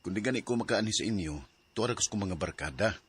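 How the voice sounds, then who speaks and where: An actor with a Cebuano accent playing an evasive, casual young boyfriend in a radio drama. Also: male voice, on the low side, lively intonation, fast talker, loud music behind the voice, lightly acted. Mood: evasive, nonchalant.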